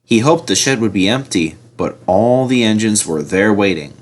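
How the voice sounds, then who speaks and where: A man reads a children's story aloud as narrator. His voice sits fairly low with a husky edge, and his delivery is expressive, with very lively intonation. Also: moderate pace.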